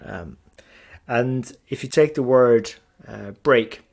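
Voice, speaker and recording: A man's voice: mid-range voice, takes it slowly, vocal fry, a little mellow. A middle-aged man from Ireland and England, hosting a solo podcast in a chatty, self-deprecating way with many fillers and restarts. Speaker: podcast host.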